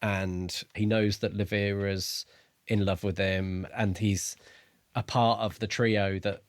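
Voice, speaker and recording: A man with a fairly low voice, recorded very dry. He chats casually as a podcast co-host, working through details in a measured, analytical manner. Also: flat delivery, dry recording. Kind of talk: casual book discussion.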